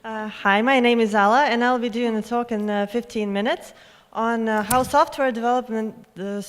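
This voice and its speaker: Young woman speaking in a reverberant hall. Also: on the high side. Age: young adult. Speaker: conference speaker. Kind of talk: introducing own talk to an audience. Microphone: handheld microphone.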